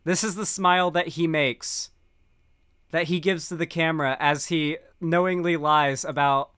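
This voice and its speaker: A man's voice: on the high side, a bit bright, clean tone, no room sound, clean studio sound. A man giving casual political commentary while stoned, rambling with lots of fillers and restarts.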